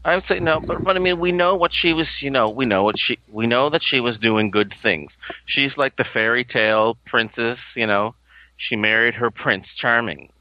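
A man co-hosting a casual podcast from a couch at home, rambling and giggly, with sudden theatrical jumps up in pitch. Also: normal speed, highs cut off. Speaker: podcast co-host. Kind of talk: casual chat. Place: living room at home, on a couch.

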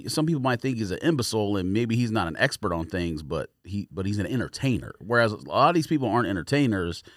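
A man speaks in a medium-pitched voice with very animated intonation. He comes across as a blunt, sardonic podcast co-host, throwing out slangy opinions in casual banter. The sound is dry, without room echo.

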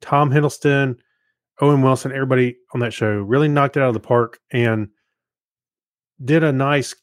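A man's voice: medium pitch, steady tone, normal speed, dry recording, clean studio sound. A man co-hosting a podcast with enthusiastic, easygoing, casual banter. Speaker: podcast co-host. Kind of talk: casual chat.

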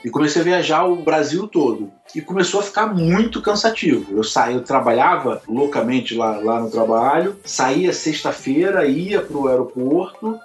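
A man from Rio de Janeiro with a Carioca accent, a lighting photographer chatting as an interview guest, telling animated stories full of fillers and repeated words. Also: fairly high pitch, normal speed, few pauses, roomy sound, faint music behind. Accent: Carioca Portuguese. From Rio de Janeiro, Brazil. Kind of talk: casual interview chat.

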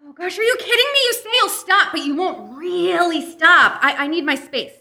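A woman's voice: very high-pitched, lively intonation, normal speed, creaky, pushed and bright, heavily acted. A woman playing a fed-up, melodramatic girlfriend breaking things off in a radio-style comedy sketch. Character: exasperated girlfriend dumping a clingy email service.

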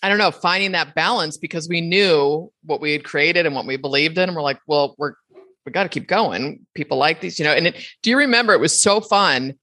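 A middle-aged woman with a notably low voice talks frankly with her business partner, animated and full of restarts. Her voice comes through dry and close to the mic, with no room echo. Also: brisk. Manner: animated and candid, with many restarts. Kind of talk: casual conversation.

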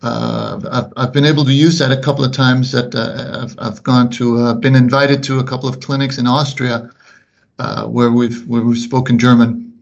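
A middle-aged man whose first language is Danish speaks English with a slight Kansas drawl, talking slowly and deliberately with many restarts in a casual interview. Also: medium pitch, flat delivery, gravelly. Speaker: guest, officiating coordinator. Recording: slightly muffled podcast audio.

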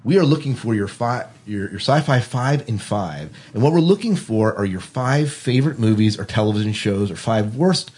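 A man hosts a podcast in an informal, chatty style. His voice sits a little low and has a husky, rough edge. He talks casually, as if among friends. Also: lively intonation, normal speed, hardly any pauses, a little mellow. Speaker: podcast host.

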